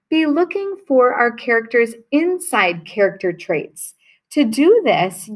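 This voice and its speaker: A woman teacher reading a children's picture book aloud to her class, telling it with expression. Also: on the high side. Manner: slow, expressive storytelling.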